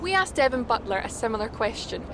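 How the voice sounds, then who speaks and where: An actress playing a poised, probing trackside TV reporter interviewing in a video game cutscene. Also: female voice, on the high side, sing-song, fast talker. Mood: professional, probing. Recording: outdoor paddock noise behind.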